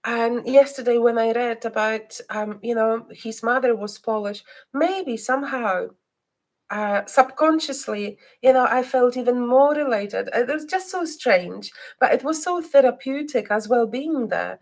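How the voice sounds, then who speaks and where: A woman who learned English as a second language talks slowly, and a faint Scottish twang colors her words. She hesitates constantly, with ums and restarts. When she leads a reading, her voice turns soft and guiding. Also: a little high. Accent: non-native English with a slight Scottish twang. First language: not English. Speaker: psychic reader and live stream host. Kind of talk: live stream chat and guided reading.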